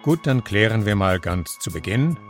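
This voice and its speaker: Calm male podcast host, lowish voice, measured scripted delivery over soft music. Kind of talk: scripted narration and interview questions.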